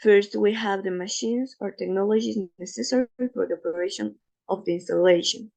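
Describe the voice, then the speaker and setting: Gravelly-voiced woman presenting slides. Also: medium pitch, fairly even intonation, mellow, low-quality recording. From Ecuador. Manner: careful, slightly halting non-native English with fillers. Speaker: student presenter.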